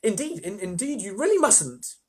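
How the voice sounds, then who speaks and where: A man's voice: sits high, very animated, moderate pace, warm tone, slightly rough, voice pushed up, heavily acted. A man voicing a kind, innocent little girl in a story reading, earnest, polite and questioning. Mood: earnest, reassuring.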